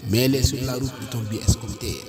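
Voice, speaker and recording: Male, medium pitch, solemn poetry recitation, devotional supplication, declamatory, measured short phrases, clear musical backing. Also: steady tone, over loud music. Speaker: poet reciting prayer poems.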